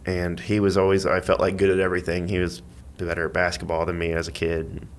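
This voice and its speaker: A man chatting casually as a podcast guest, in a halting, rambling way as he thinks aloud. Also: deep voice, monotone. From Missouri, United States. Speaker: podcast guest, amateur athlete and school IT worker. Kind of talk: casual interview chat.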